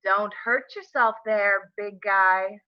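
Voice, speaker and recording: A woman's voice: medium pitch, fairly even intonation, slow pace, dull audio, natural voice. A woman playing a playful young wife in her late twenties, with a casual, teasing manner, in a table read of a film script.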